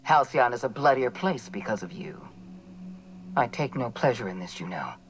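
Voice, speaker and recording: Icy game villainess, corporate official, low voice, widely swinging intonation, formal, condescending, faint ambience behind. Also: female voice, expressive, moderate pace, slight background noise. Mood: grave resolve. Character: cold, imperious female corporate official, menacing villain. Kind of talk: dramatic video game dialogue.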